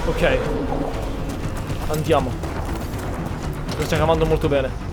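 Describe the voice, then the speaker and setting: A man's voice: on the high side, flat delivery, a little slow. A man with an Italian accent giving casual gameplay commentary, reacting aloud to what happens in the game. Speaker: game streamer. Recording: loud game audio behind the voice. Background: loud game music and battle sounds.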